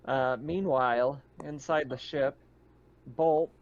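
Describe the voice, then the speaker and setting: A man's voice: medium pitch, fairly even intonation, slow pace. A man acting as game master, narrating a tabletop game casually over slightly dull, muffled audio.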